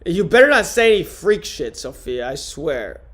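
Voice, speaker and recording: A young man with a fairly high-pitched voice riffs casually on a live stream. He answers viewers' comments one by one, rambling and cursing freely. He comes from upstate New York. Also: very animated, moderate pace, a bit bright. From Rochester, New York. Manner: rambling, profane, answering chat comments aloud.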